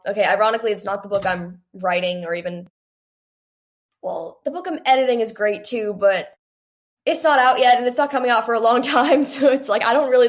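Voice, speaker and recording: An eighteen-year-old woman speaking casually and earnestly as a young author being interviewed, chatting with frequent use of "like". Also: fairly high pitch.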